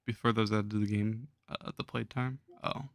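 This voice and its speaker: A hoarse young man losing his voice, chatting casually with viewers on a gaming livestream and chuckling often. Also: fairly low pitch, fairly even intonation, normal speed, no echo, clean studio sound. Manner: laid-back, chuckles while talking to chat.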